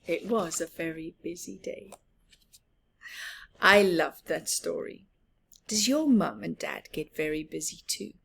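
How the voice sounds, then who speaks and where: A woman's voice: fairly low pitch, a little slow, gravelly, pauses often, fairly bright tone, a little hiss or hum. A woman reading a picture book aloud expressively for young children, putting questions to them and often dropping toward a whisper. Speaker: children's storyteller.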